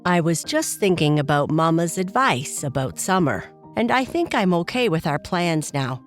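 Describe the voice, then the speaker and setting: A woman's voice: fairly low pitch, sing-song, moderate pace, soft background music. A woman voicing a curious, eager little girl bear cub in a children's bedtime story, chatty and childlike. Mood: cheerful.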